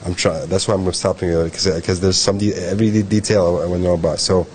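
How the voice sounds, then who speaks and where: A male host interviewing a guest, eager and chatty, often chiming in with quick agreements and stumbling as he jumps in. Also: low-pitched, fairly even intonation, a little mellow, a little hiss or hum. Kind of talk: casual interview hosting.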